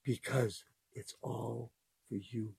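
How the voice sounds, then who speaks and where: An older man with a very husky, mellow voice talks to his listeners unscripted, earnest and rambling, circling back over the same phrases. His pitch swings widely as he talks, and the sound is dry and close. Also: steady tone, slow pace, no echo. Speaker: podcast host. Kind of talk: unscripted heartfelt monologue.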